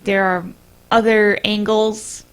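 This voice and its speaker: A woman's voice: mid-range voice, flat delivery, takes it slowly, fairly bright tone, smooth. A woman co-hosting a podcast in casual, conversational talk. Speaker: podcast co-host. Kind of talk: casual chat. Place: small room, round table.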